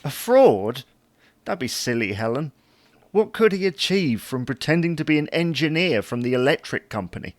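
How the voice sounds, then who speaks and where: A man's voice: on the high side, big pitch swings, normal speed, no room sound. A man playing a teasing, sceptical husband in a comedy audio drama, wry and reasoning. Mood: dismissive. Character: teasing, sceptical husband, cautious about money.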